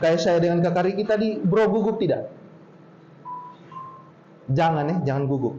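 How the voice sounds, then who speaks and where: A man from Poso in Indonesia with a regional Poso accent, speaking casually to a group in a relaxed storytelling way and drawing out his words. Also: sits high, slight background noise. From Poso, Central Sulawesi, Indonesia. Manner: chatty, drawn-out storytelling tone. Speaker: speaker at a talk. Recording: roomy, dull lo-fi sound with faint ambience.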